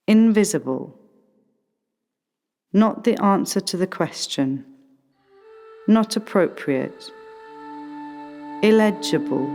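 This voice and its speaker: A woman playing a whimsical, musing character in a radio play, with deliberate, drawn-out phrasing. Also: mid-range voice, warm tone. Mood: playful. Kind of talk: slow, stylised art radio play delivery. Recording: long reverb, music behind the voice.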